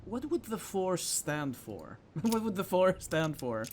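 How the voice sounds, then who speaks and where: High-pitched, lively man doing game commentary. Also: slow, a little hiss or hum. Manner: thinking aloud, informal, occasional swearing. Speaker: gamer playing a puzzle game. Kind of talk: casual let's play commentary.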